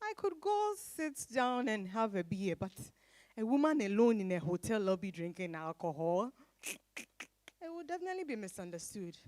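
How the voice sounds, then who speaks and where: A woman's voice: medium pitch, sing-song, moderate pace, darkish tone, no echo, close to an everyday voice. A woman playing an independent-minded, weary professional woman in a dramatized reading. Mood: weary, disappointed. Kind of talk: dramatized stage-style reading.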